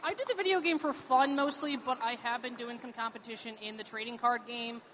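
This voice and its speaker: A woman's voice: a little high, fairly quick, a bit bright, a little hiss or hum. A woman from Pittsburgh, a cosplayer, chatting enthusiastically in an event interview with many fillers. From Pittsburgh, USA. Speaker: cosplayer guest.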